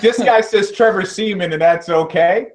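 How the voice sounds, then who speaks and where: A man's voice: high voice, moderate pace. A man on a sports talk show, swearing and playing up mock outrage. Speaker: podcast co-host. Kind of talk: casual sports banter.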